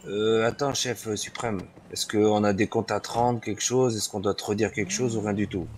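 A man with a fairly low voice plays a gang underling in an online game. He speaks casually, with chummy and hesitant slang, over faint noise. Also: fairly even intonation, moderate pace. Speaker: player roleplaying a gang underling. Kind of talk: casual improvised game roleplay.

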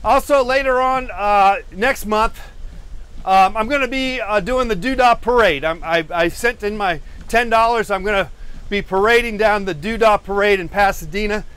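Rambling high-voiced man streaming from his studio. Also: normal speed, a bit bright, clear, resonant tone. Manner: meandering, self-deprecating, with frequent fillers and restarts; walking on a treadmill while talking. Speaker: host of a live painting stream. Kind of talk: rambling live-stream chat. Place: cluttered indoor art studio.

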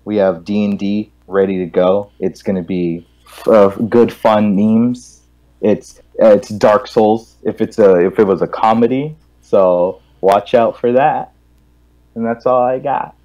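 A young man with a fairly low voice chats on a gaming stream. He tells stories casually, circling back and repeating himself as he goes. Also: a little slow, darkish tone, rough recording. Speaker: guest co-host on a gaming stream. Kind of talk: casual group chat, rambling storytelling.

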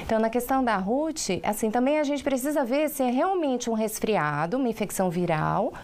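A woman's voice: a little high, animated, a little fast, a little mellow. A woman doctor, explaining health advice patiently on a live TV talk show, recorded in a studio on a lapel mic. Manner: patient, explanatory, with frequent filler tags. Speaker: doctor guest.

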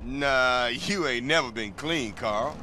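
An actor playing a cocky, menacing corrupt police officer in a video game, with a mocking, theatrical, drawn-out delivery. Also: male voice, medium pitch, natural voice. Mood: mocking, probing. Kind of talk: video game cutscene acting. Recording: game audio with loud street and vehicle noise.

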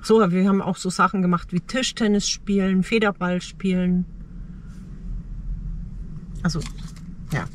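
A middle-aged woman talking reflectively in short, halting phrases in a personal vlog, recorded inside a parked car. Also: lowish voice, steady tone, a little hiss or hum. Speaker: travel vlogger.

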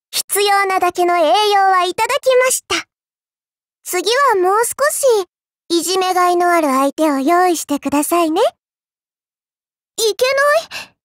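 A woman's voice: very high-pitched, a bit bright, no echo, clean studio sound, heavily acted. A woman voicing a mischievous, teasing little girl villainess in a mobile game, coy and polite with playful menace. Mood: coy, mocking. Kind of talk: Japanese mobile game character voice lines.

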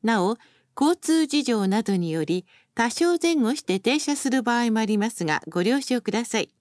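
A woman delivers recorded bus announcements in a fairly high voice with a lively, melodic rise and fall. She is formal and courteous and articulates each phrase carefully. The sound is close and dry, with very little room around it. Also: normal speed, no echo. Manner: formal, polite, carefully enunciated.

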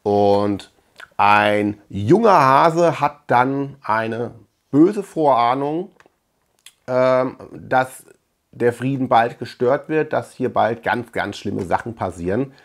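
A man's voice: medium pitch, lively intonation, slow. A man, hosting a review channel and talking casually and conversationally to the camera from a room indoors, sometimes searching for words. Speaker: host of a film review channel. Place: small room full of shelves and collectibles, indoors.

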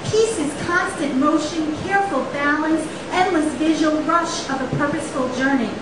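A woman in her thirties giving a dramatic poetry reading, with emphatic, deliberate phrasing and rhetorical repetition. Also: sits high. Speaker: poet reading own work. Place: small indoor poetry venue on stage. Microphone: stand microphone, picked up by camera. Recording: echoey live room, distant camera, faint hiss.

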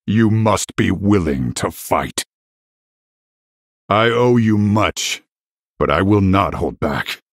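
An actor voicing a noble, battle-hardened, middle-aged warrior king in a fantasy video game, gravelly and husky, speaking in a grand, formal, commanding way. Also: medium pitch, a bit bright, no echo, clean studio sound, exaggerated voice. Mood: stern, solemn.

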